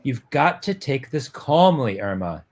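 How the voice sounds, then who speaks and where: A man's voice: medium pitch, very animated, a little slow. A man playing a loyal young boyfriend, tender, coaxing and earnest, in a dramatic romance-comic reading. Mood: reassuring.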